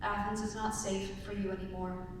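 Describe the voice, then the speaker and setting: Woman as stern protective goddess, stage reading, far from mic, faint rumble. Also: level tone, brisk, very echoey, big-room sound, lightly acted. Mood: solemn, firm. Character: solemn, protective woman of authority.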